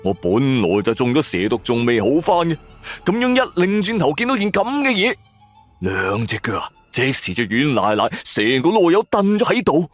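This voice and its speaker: Animated Cantonese male storyteller over music. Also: medium pitch, normal speed. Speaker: audio drama narrator. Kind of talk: dramatic first-person supernatural story narration. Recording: music bed under the voice. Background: background music.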